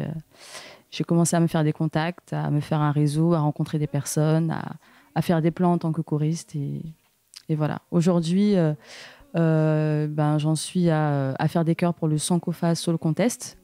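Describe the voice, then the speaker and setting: A woman from French Guiana in her thirties, telling her story as a backing singer in a documentary interview, talking casually and pausing to restart her sentences. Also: fairly low pitch, mellow, no room sound. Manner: conversational, thinks aloud, pauses to restart sentences.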